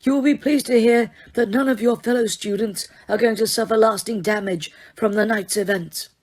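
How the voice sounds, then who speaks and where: Woman narrator doing an elderly wizard headmaster: calm, quiet, higher voice, serene storybook delivery. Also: moderate pace, close to an everyday voice. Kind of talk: audiobook character reading.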